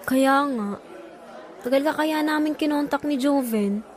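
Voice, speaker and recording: A woman's voice: high voice, unhurried. A woman playing a sulky, accusing young friend in a Filipino audio drama. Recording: faint crowd behind.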